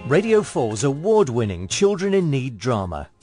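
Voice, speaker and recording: A man's voice: medium pitch, big pitch swings, moderate pace, dark tone, faint music behind. A man reading a promotional radio drama trailer. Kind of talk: promotional scripted read.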